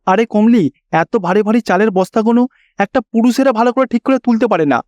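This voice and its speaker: A man's voice: high voice, a little fast, a little mellow, no echo, muffled recording, lightly acted. Man acting a pleading, worried young husband, dry sound. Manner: earnest, pleading. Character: worried, pleading, dutiful young married son.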